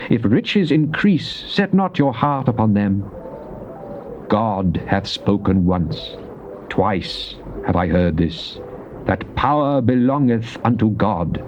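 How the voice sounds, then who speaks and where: An actor playing a devout, fervent psalmist, declaiming in a solemn, measured way in a dramatized scripture reading. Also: male voice, mid-range voice, lightly acted. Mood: devout, resolute. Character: devout psalmist, fervent man praying to God. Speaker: scripture reader. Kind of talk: dramatized King James Bible reading. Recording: muffled old cassette with faint hiss.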